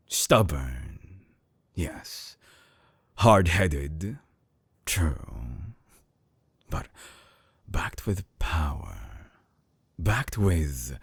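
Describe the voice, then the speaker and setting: An actor playing a suave, obsessive villain scientist in an intimate binaural audio drama, teasing and menacing, with a husky voice that slips into breathy whispers. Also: male voice, rumbling low, no room sound, exaggerated voice. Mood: amused, taunting. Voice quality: husky, gravelly. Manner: slow, theatrical, teasing, sliding into breathy whispers. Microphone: close binaural microphone.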